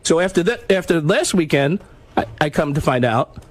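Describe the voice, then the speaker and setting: A man in a heated studio discussion, complaining and defending himself with halting repetitions. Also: a little high, lively intonation, slight background noise. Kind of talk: argumentative casual studio talk.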